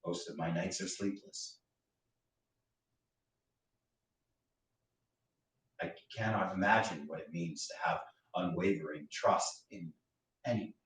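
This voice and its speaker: A man's voice: sits low, normal speed, a bit bright. A man playing a bitter, drunken man tormented by grief and guilt in a staged reading, with a mocking, theatrical, needling manner. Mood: grieving, subdued. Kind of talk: dramatic monologue in a staged play reading. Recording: roomy, echoey, distant mic.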